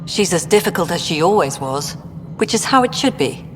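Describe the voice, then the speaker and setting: An actress playing a frank, dry, measured administrator in video game dialogue. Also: female voice, fairly low pitch, animated, close to an everyday voice. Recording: long reverb with engine-like ambient noise.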